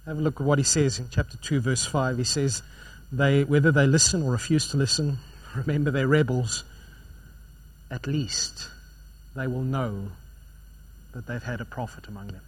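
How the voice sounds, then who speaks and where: A man preaching a sermon, swinging between loud emphatic passages and quiet, low ones. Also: moderate pace, slight background noise, low-quality recording.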